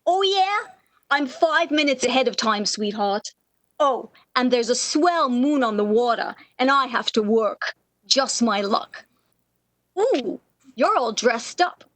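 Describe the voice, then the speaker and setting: A woman acts out a brassy night switchboard operator from a 1930s radio play. Her voice is high-pitched and dryly recorded. She teases in slangy, worldly-wise fashion, with a motherly streak under the wisecracks. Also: expressive, takes it slowly, fairly bright tone, no room sound. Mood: breezy teasing. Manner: slangy, teasing, worldly-wise, uses endearments.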